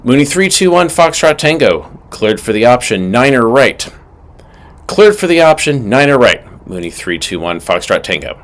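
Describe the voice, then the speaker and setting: A man's voice: mid-range voice, big pitch swings, normal speed. A man giving scripted instructional narration as an aviation instructor, methodical and emphatic in his delivery. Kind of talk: scripted instructional narration with acted radio call examples.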